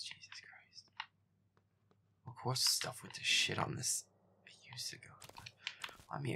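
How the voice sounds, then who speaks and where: A man's voice: sits low, gravelly, bright, forceful tone, exaggerated voice. A man voicing a feisty, foul-mouthed young boyfriend, grumbling and exasperated, in a gameplay audio roleplay. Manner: grumbling, profane, exasperated, often muttering under breath. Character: feisty foul-mouthed werewolf boyfriend grudgingly playing scary game.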